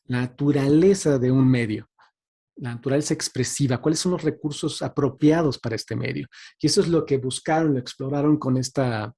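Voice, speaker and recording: A man with a Mexican accent gives an informal academic talk in a dark, husky voice of medium pitch. He thinks aloud, pausing and adding small tag questions as he explains. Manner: reflective, hesitant, seeking agreement with tag questions. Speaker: lecturer.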